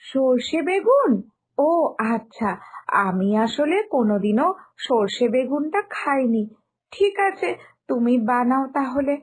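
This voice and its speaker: Woman acting high, animated young daughter-in-law. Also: slow pace, slightly rough, full character voice. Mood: surprised. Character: polite, curious young daughter-in-law, quietly shocked by filth. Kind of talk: animated Bengali family story dialogue.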